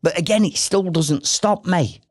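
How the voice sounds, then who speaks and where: A man's voice: high-pitched, measured pace, no echo, studio quality. A middle-aged man with a Yorkshire accent, hosting a solo business podcast in a direct, persuasive way with many rhetorical questions. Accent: Northern English, Yorkshire. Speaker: podcast host.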